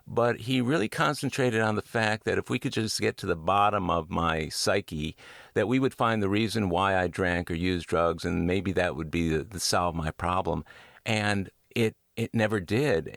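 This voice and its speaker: Gravelly low-voiced man interviewing, dry sound. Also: fairly bright tone, dry recording. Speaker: interviewer and podcast host. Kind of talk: conversational interview.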